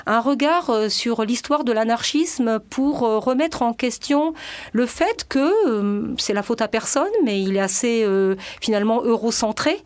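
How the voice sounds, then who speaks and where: Radio guest: woman, native Spanish speaker, slightly high pitch, halting and full of fillers. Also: a little mellow. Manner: hesitant, many filler pauses. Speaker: guest, editor and translator. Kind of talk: radio interview.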